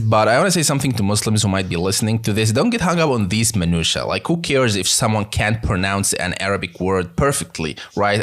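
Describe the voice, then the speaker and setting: A man with a lowish voice hosts a reaction video. He talks through his points in a casual, explanatory style of commentary. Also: a bit bright.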